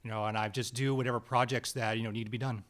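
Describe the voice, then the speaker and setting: A man being interviewed about his film work, talking casually in a halting, rambling way with many restarts, recorded on a desk stand mic in a small studio. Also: medium pitch, little pitch movement, a bit bright. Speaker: guest actor and screenwriter.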